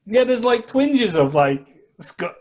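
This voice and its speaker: A man co-hosting a casual podcast, teasing the others in a playful, lively way. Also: high-pitched, slow. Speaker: podcast co-host. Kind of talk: casual chat.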